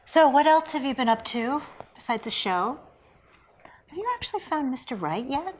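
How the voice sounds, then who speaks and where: Woman acting a wry, needling divorcee, lively pitch. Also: normal speed. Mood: wry, teasing. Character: wry, bitter, needling divorced woman, old college friend. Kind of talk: naturalistic script table read.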